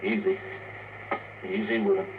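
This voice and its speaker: A man's voice: fairly low pitch, flat delivery, a little slow, phone-quality sound, natural voice. An actor playing a practical spaceman who leads a crew, terse and commanding, in a 1950s radio drama. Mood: urgent, careful. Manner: terse, commanding, matter-of-fact. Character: gruff, practical spaceman leading a crew, male. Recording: noisy, thin, distant old radio recording.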